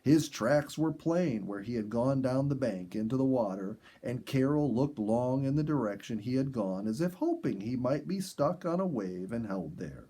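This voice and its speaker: Male audiobook reader, mellow and slightly husky, lively pitch movement, storybook delivery. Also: normal speed, few pauses. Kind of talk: expressive storybook narration.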